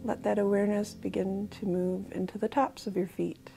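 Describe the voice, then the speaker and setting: A woman with an American accent, slowly guiding a body scan meditation in a soft, calm voice. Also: medium pitch, creaky, faint music behind, low-quality recording. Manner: slow, soft-spoken, calm guidance with pauses. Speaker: mindfulness guide.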